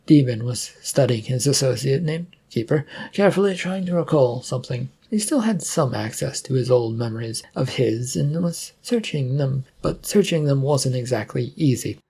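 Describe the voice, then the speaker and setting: Male narrator, dark mellow tone, slightly husky, measured hobbyist story reading. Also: medium pitch, animated. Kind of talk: reading a self-written adventure story aloud.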